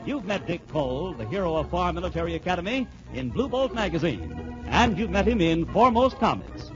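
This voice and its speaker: High, animated vintage radio announcer man. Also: fairly quick. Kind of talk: excited 1940s radio serial announcing. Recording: muffled 1940s radio recording with music. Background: music.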